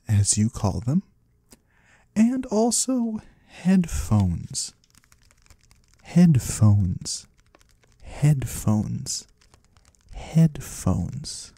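ASMR roleplay casting: man as soothing, mysterious male trader from the void, very dark husky tone, formal and courteous. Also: mid-range voice, big pitch swings, drawn-out words, pauses often, dry recording, heavily acted. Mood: curious, measured. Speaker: ASMR performer. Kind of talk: ASMR roleplay, soft-spoken.

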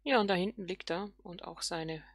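A woman's voice: on the low side, moderate pace, no room sound, clean studio sound. A woman hosting a let's play, thinking aloud casually and murmuring questions to herself as she plays.

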